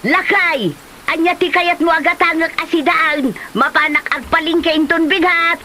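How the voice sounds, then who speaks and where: An actress playing a nagging, sharp-tongued mother in an Ilocano comedy drama, recorded on noisy location. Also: extremely high pitch, steady tone, unhurried, exaggerated voice. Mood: scolding, exasperated.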